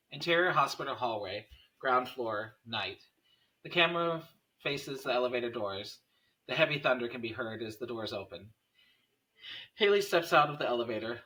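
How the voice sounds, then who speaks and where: A man's voice: medium pitch, pushed and bright, low-quality recording. A man reading stage directions as narrator at a screenplay table read, in scripted narration.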